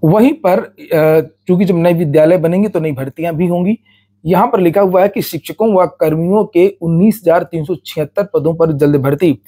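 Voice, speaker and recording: Husky, mellow man reading news. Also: fairly high pitch, normal speed. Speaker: exam and recruitment news presenter. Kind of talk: reading and explaining news notices.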